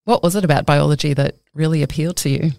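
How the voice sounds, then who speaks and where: A woman hosting a podcast, reading a scripted introduction and asking her guest questions in a clear, measured way. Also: deep voice, steady tone, a little mellow, dry recording, studio quality. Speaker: podcast host.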